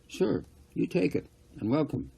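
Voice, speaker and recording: Generous, breezy woman for an audiobook, played by a male reader, offhand and teasing, slightly gravelly. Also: a little high, big pitch swings, a little slow, dark tone, voice pushed up, heavily acted.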